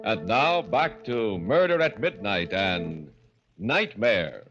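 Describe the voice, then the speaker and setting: An actor playing an ominous announcer, narrating a vintage radio horror show in a dramatic style. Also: male voice, on the low side, sing-song, takes it slowly, over loud music. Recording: muffled old radio recording.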